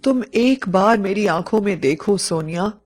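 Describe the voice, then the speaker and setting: A woman's voice: mid-range voice, lively intonation, measured pace, a little mellow. A woman voicing a sorrowful, lovesick young male ghost in a storybook, speaking with earnest, mournful confession. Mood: tender, pleading. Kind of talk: storybook narration dialogue.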